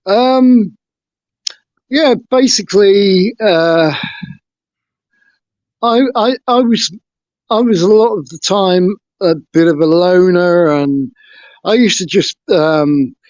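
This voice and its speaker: A man with a somewhat high voice tells his story in a frank, hesitant way. The sound is dry and dull, like lo-fi call audio. He speaks as an interview guest on a video call. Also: takes it slowly, long pauses, darkish tone, no echo. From England. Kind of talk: casual interview talk.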